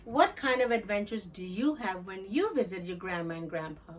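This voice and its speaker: A woman reading a children's storybook aloud in an expressive, deliberate storytelling manner for young listeners. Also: medium pitch, distant mic. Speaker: children's book read-aloud host. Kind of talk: scripted storybook narration for children.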